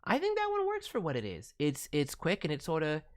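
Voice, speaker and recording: A man's voice: a little high, huge pitch swings, fairly quick, clean tone. A man giving casual, thoughtful critique as a voice director, hedging as he talks. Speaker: voice director reviewing demo reels.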